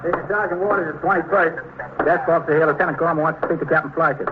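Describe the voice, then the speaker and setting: An American man playing a brisk, insistent police switchboard sergeant in a 1950s radio drama. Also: a little high, fairly even intonation, lightly acted. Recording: thin, phone-like hissy old radio recording.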